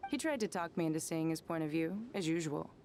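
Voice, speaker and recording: A woman's voice: low-pitched, expressive, fast talker, a little mellow, a little hiss or hum. A woman playing a confident, wry young desert adventurer in a video game, with a breezy, casual manner. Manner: breezy, casual, self-assured. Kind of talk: video game character acting.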